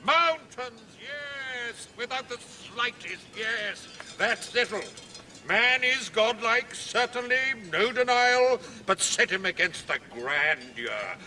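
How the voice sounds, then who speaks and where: An actor playing a grandiose elderly Dutch planter who declaims in broken, unfinished phrases, in a radio drama. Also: male voice, extremely high pitch, lively intonation, takes it slowly, pushed and bright, slight background noise, full character voice. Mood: grandiose, expansive. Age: 60s.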